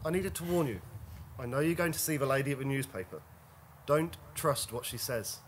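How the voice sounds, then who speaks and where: A man acts a secretive male stranger in an audio drama. He is urgent and apologetic, cryptic in what he gives away, with a mid-pitched voice over faint ambient noise. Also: expressive, brisk, close to an everyday voice. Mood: insistent, secretive.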